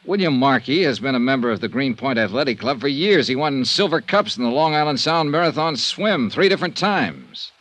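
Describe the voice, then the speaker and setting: Casting: male actor for a jaded, hard-boiled American insurance sleuth, old-time radio noir, with widely moving pitch. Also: animated, brisk, hardly any pauses, fairly bright tone, dry recording, close to an everyday voice. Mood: accusing, sardonic. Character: cynical, world-weary hard-boiled male insurance investigator. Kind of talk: old-time radio detective drama, noir narration and dialogue. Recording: vintage radio recording, faint music.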